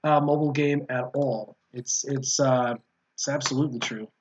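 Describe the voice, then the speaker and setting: A man's voice: mid-range voice, fairly even intonation, normal speed, darkish tone. A man chatting casually on a gaming stream with teasing, slangy banter, as the host. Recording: dull, lo-fi voice audio.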